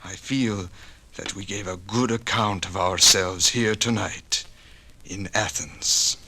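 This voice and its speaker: An actor playing an awed, reflective symphony violinist in a theatrical 1950s radio monologue. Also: male voice, deep voice, fairly even intonation, slow, pushed and bright, full character voice. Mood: reflective awe. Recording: noisy old radio recording.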